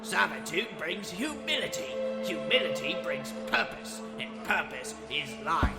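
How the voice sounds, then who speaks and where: An actor playing a gruff, brash gray dwarf auctioneer in a fantasy audio drama, barking proclamations at a crowd. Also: male voice, fairly high pitch, sing-song, a little slow. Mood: solemn proclaiming. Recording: echoing voice under loud music.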